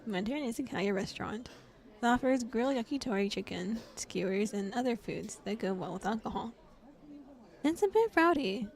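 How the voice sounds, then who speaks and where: A woman voicing a scheming young male demon who calmly narrates in the first person while hunting a victim, reading a visual novel aloud. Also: medium pitch, lightly acted.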